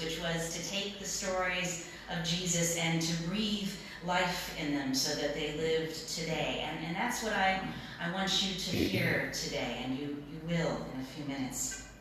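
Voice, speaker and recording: A middle-aged woman pastor preaching in a plain-spoken, informal storytelling way, pausing and repeating words for emphasis. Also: fairly low pitch, fairly bright tone. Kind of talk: sermon, conversational storytelling. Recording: very echoey room, distant mic, noisy.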